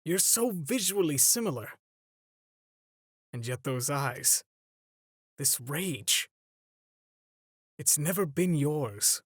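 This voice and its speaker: A man playing an older superhero mentor in a comic dub, paternal and gently stern, with a theatrical, measured delivery. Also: on the high side, expressive, dry recording, clean studio sound. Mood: earnest, sorrowful. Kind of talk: dramatic comic dub voice acting.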